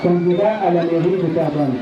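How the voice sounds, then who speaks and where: A man's voice: a little high, fast. A man from Lebanon, a municipal candidate, giving a short greeting speech with simple phrasing and Arabic greetings. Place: outdoor community festival. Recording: dull, echoey PA from far off, crowd noise.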